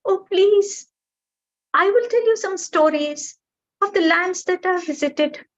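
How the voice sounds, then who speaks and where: A woman doing a tiny sweet storybook bird, very high sing-song pleading voice that sits far above her own, chatty and eager, on a dull lo-fi recording. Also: slow.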